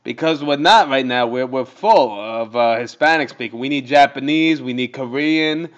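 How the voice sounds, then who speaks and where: A man's voice: mid-range voice, moderate pace. A man making a prank phone call, pushy and fumbling, stalling with fillers and restarts. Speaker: prank caller. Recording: muffled recorded phone call.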